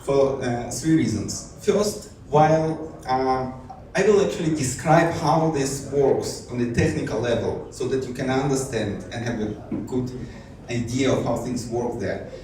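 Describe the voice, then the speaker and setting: A man from Israel gives a technical talk in a large, echoey hall, heard from a distance off the mic with a faint hiss behind him. His voice is dark and mellow and sits at a middle pitch. He talks hesitantly, with many fillers and restarts. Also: measured pace. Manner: hesitant, many fillers and restarts, light self-amused laughs. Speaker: conference speaker. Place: conference hall.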